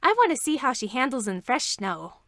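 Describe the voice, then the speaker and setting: Reader doing an awkward blunt princess inventor gal from a story, highish voice, guileless. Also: female voice, sing-song, moderate pace, a bit bright, no room sound, clean studio sound, heavily acted. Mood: bouncy, eager.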